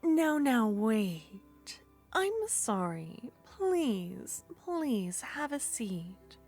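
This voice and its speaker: Sweet clingy witch played by a woman, soft and whispery, super slow and drawn out, little bit of music behind. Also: a little high, heavily acted. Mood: coaxing. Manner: soft-spoken, slipping into whispers, coaxing and flattering. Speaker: ASMR roleplay performer. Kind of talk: ASMR roleplay.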